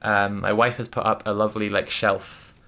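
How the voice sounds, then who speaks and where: A woman's voice: very deep voice, level tone, normal speed, a bit bright. A woman streaming, talking casually and thoughtfully to her chat, with many restarts and fillers.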